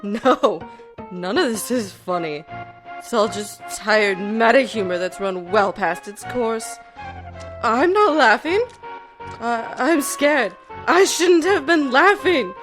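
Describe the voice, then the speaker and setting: A woman's voice: a little high, lively intonation, over music. A woman voicing a manic, unhinged female villain in a theatrical way for a parody game dub. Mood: shaken, defensive. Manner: theatrical, slow, hysterical.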